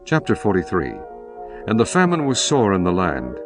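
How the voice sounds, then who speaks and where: A man narrating scripture in a measured, solemn style. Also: fairly low pitch, wildly swooping pitch. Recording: music bed behind voice.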